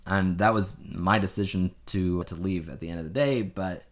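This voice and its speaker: A man's voice: sits low, moderate pace. A man co-hosting a casual podcast, talking in a rambling, anecdotal way. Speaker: podcast co-host. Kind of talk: casual conversational podcast chat.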